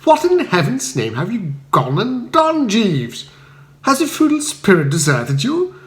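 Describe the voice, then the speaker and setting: A man voicing an excitable, indignant young upper-class English gentleman in a comic audiobook, florid and exclamatory. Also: sits high, measured pace, roomy sound, pitched above the performer's own voice, exaggerated voice.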